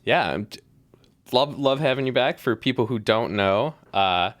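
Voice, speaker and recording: A woman's voice: extremely low pitch, animated, moderate pace, no echo. A woman hosting a casual live stream, bubbly and rambling, laughing often. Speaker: podcast host.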